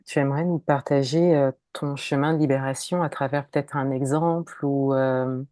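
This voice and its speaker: A woman hosting an interview with a low, warm voice. She asks her questions softly and hesitantly, with frequent fillers. The sound is dry and close. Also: steady tone, normal speed, no echo. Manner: soft and hesitant, with many fillers while asking. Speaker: podcast host. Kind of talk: interviewer, casual conversation.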